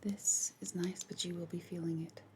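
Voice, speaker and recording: A woman whispering softly and unhurriedly in an ASMR roleplay, gently instructing the listener as a makeup artist. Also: lowish voice, little pitch movement, moderate pace, slight background noise. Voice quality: breathy whisper.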